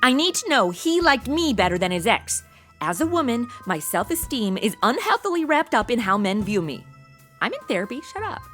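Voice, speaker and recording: A woman plays a brash, jealous young American woman in an audio comedy. Her voice is sharp and very animated, full of sassy slang and sarcasm, with music underneath. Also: fairly high pitch, normal speed. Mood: defensive, sarcastic. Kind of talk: comedy audio drama acting.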